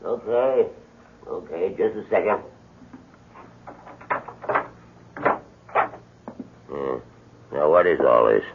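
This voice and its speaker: An actor playing a gruff, mocking, stubborn old landowner in a radio drama. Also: male voice, low voice, very animated, measured pace, background noise, exaggerated voice. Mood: irritated. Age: older. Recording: dull 1970s radio recording.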